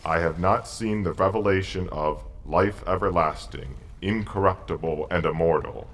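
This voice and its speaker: A man's voice: deep voice, moderate pace. A man playing a humble, devout, dying old wise man in a dramatic audio story, with solemn, reflective delivery. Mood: resolute, reflective. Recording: music behind the voice.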